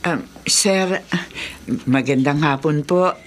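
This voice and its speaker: A woman's voice: low voice, takes it slowly, slight background noise. Gossipy, superstitious middle-aged woman. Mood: deferential. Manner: earnest, deferential warning. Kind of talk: horror radio drama acting.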